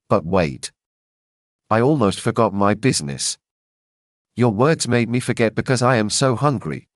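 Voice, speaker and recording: A gruff, impatient messenger of the king, hungry and pushy, as a storyteller puts him on. His voice swings up and down with lively pitch. It is recorded close and dry. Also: no echo, close to an everyday voice. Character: hungry blustering male king's messenger, middle-aged.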